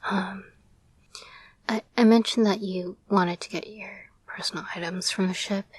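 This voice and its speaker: A woman playing an affectionate, playful girlfriend and caretaker in an intimate roleplay audio, speaking in a soft, breathy whisper with a gentle, hesitant murmur. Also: medium pitch, no room sound.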